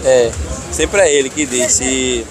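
A man's voice: mid-range voice, slow, music in the background, low-quality recording. A man in his thirties with a Northeastern Brazilian accent, a market vendor chatting in a joking, familiar way. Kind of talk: casual chat. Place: outdoor market stall. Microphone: reporter's handheld mic. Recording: busy outdoor market crowd noise.

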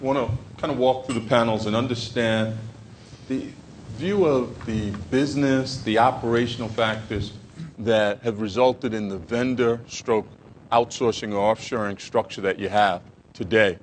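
A man leads a panel discussion in a hotel meeting room, talking easily and laying out the questions with examples. His voice sits at an ordinary middle pitch and is picked up from a distance in the room. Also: normal speed, slight background noise. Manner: fluent, conversational, frames topics with examples. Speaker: panel moderator. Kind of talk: conference panel moderation. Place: hotel conference room, standing before a seated audience.

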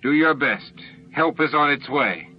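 A man's voice: a little high, lively intonation, measured pace, highs cut off, soft background music, pitched above the performer's own voice. A man voicing a harried, decisive first minister in an audiobook, clipped and authoritative. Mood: commanding.

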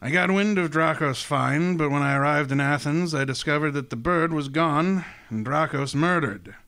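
An actor playing a genteel, scheming older crime boss in a radio drama, speaking in a courtly, grandiloquent, relishing way. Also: male voice, on the high side, normal speed, fairly bright tone. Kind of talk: hardboiled detective radio drama acting.

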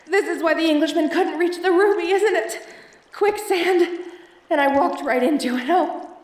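Woman acting a high-voiced theatrical femme fatale adventuress. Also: echoey room, far from the mic. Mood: panicked, pleading. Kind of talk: audio roleplay acting, addressed to the listener.

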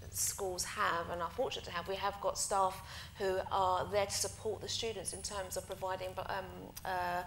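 A woman who grew up speaking Greek, an art teacher answering on a panel in an earnest, animated way with many fillers and restarts. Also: medium pitch. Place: conference hall. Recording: echoey hall with faint noise.

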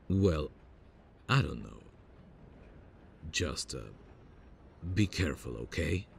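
A man playing a worried, protective big brother in an audio roleplay, speaking haltingly. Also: very deep voice, vocal fry, a little hiss or hum, full character voice. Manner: hesitant, halting with many pauses.

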